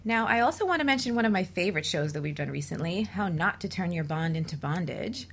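A woman's voice: lowish voice, lively intonation, quick pace, slight background noise. A woman hosting a radio talk show on relationships, delivering semi-scripted introductions and chat as a host and coach.

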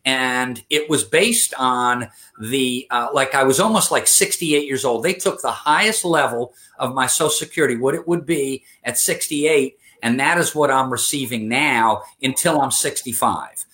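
A man in his fifties, interviewed, with a mid-pitched voice. He talks earnestly, stopping and restarting, with plenty of ums and uhs. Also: moderate pace, few pauses, bright, forceful tone. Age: 50s. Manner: earnest, many restarts and fillers. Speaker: interview guest. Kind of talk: conversational interview.

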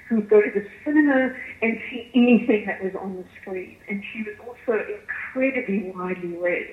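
A woman with a South African accent giving articulate, measured answers as a guest in a radio interview. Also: medium pitch, roomy sound, phone-quality sound. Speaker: arts festival chief executive, interview guest.

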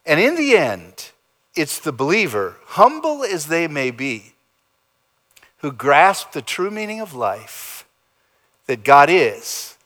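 A man preaching a sermon as pastor, in a dramatic style that swings between loud emphasis and soft, low endings. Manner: dramatic preaching with big swings in pitch and loudness. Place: church sanctuary.

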